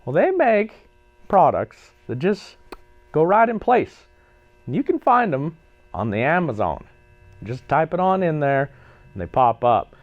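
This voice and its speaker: A hoarse man hosts a casual vlog with a folksy, joking delivery and lots of dry, tongue-in-cheek asides. His voice sits a bit high and swoops up and down freely. The sound is dry and slightly muffled. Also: very animated, unhurried, long pauses, dry recording. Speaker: vlog host. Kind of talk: casual product review vlog.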